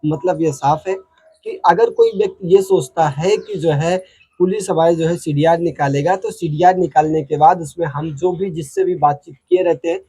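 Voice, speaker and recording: A man's voice: on the high side, dark tone, slightly rough. A man with an Indian accent hosting an informal explainer, making points emphatically and repetitively with frequent filler phrases. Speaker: host of a legal explainer channel. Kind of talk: informal explainer talk to viewers.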